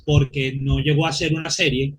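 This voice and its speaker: A man's voice: fairly high pitch, flat delivery, moderate pace, fairly bright tone, breathy edge. A Venezuelan-accented man talking informally as an interview guest, detailed and often searching for the right words. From Maracay, Venezuela. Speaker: guest comics historian.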